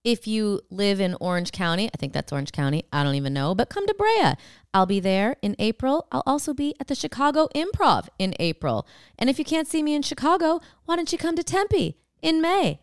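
A woman's voice: fairly high pitch, sing-song, fairly quick, clean tone. A woman co-hosts a comedy podcast from a hotel room. Her delivery is wry and offhand, full of dry jokes. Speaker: podcast co-host. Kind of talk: casual comedic banter.

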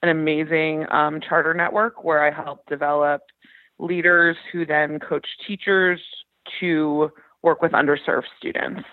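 A woman's voice: low-pitched, little pitch movement, measured pace, no echo. A woman in her thirties talking candidly and chattily, with self-deprecating humour, as a caller over a phone line. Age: 30s. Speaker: caller seeking advice; education professional. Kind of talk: candid personal conversation. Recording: thin, tinny phone call.

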